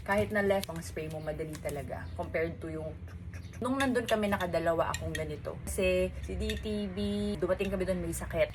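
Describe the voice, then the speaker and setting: A woman with a Filipino accent talks in a casual, chatty vlog style. Her voice sits a little lower than most women's. She switches languages informally as she goes through her haul. Noise and room ambience are clearly audible behind her. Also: rough recording. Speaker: vlogger.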